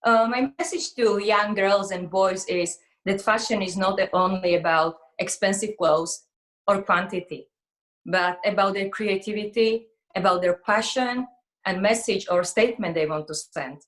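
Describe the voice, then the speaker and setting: A woman's voice: mid-range voice, measured pace, fairly bright tone. A woman in her forties from Slovakia with a Slovak accent, speaking conversationally as an interview guest. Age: 40s. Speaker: interview guest, fashion model.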